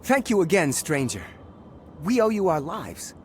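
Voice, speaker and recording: A man's voice: high voice, very animated, unhurried, a little mellow, a little hiss or hum. An actor playing a grateful freed male captive in a video game, earnest and thankful.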